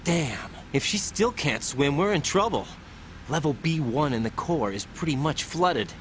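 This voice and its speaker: A man's voice: a little high, animated, unhurried, a little hiss or hum. An actor playing a young rookie agent, earnest and impatient, questioning his allies in a video game cutscene. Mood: reluctant resolve. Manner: questioning, emphatic.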